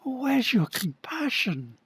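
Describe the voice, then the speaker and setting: A man's voice: very high voice, sing-song, slow, a bit bright, slightly rough, no room sound, heavily acted. A man playing an earnest, desperate man pleading for his life in a staged play reading. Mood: pleading, indignant.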